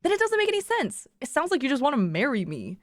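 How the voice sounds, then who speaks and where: A woman voicing an excitable, indignant young female streamer who is quick to bicker, in a story. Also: high-pitched, no room sound, studio quality, voice pushed up.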